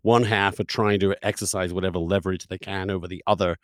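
A man's voice: deep voice, fairly even intonation, quick pace, no echo, clean studio sound. A man hosting an intellectual interview, arguing his points emphatically in conversation. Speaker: interview host.

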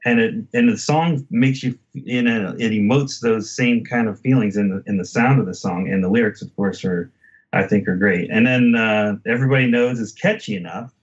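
A man with a fairly low voice hosts a casual music discussion. He speaks reflectively and hesitantly, as in easy conversation. The recording is a little dull and lo-fi. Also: moderate pace. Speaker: music podcast host.

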